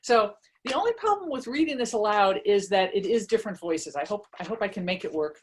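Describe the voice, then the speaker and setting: A woman's voice: medium pitch, expressive, brisk, slight background noise. A woman author talking casually about their books and reading aloud, in a chatty, self-deprecating, conversational way. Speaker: author presenting and reading from their own book. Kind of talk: casual book talk and read-aloud.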